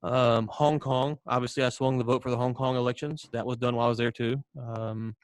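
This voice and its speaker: An American man co-hosts a podcast in a casual storytelling style. His mid-pitched voice rambles along with mock seriousness and frequent joking. The sound is dry and close, with little room in it. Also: steady tone, a little fast, clean tone, no room sound. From United States. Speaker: podcast co-host.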